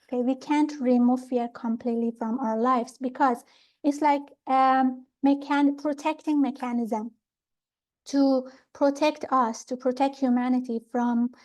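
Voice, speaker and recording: A middle-aged woman from Iran calmly explaining pranic healing ideas in an interview, pausing often with fillers and restarts. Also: high voice. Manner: calm, thoughtful, with many fillers and restarts.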